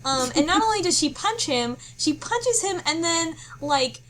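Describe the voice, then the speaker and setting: A woman's voice: extremely high pitch, lively intonation, measured pace, a bit bright. A woman chatting casually as a podcast panelist, recapping a story piece by piece with some hesitation. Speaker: book club podcast panelist. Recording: clear background noise.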